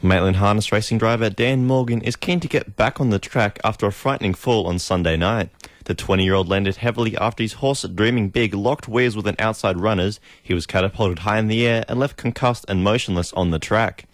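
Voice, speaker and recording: An Australian-accented man reads scripted sports news as a radio newsreader. His voice is on the low side and the sound is dry and close. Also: a little fast, no room sound.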